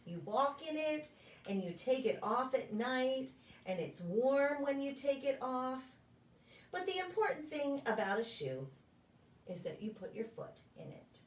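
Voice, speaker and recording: Slow, lilting woman reading to young children. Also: high-pitched, sing-song. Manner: deliberate, sing-song storytelling for young children. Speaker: pastor reading stories to preschool children. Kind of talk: read-aloud children's picture book. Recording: echoey room, distant microphone.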